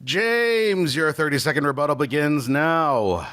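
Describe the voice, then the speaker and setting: Male game-show-style moderator, lively pitch, theatrical announcing with casual asides. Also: measured pace. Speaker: host and moderator of a comedic debate show.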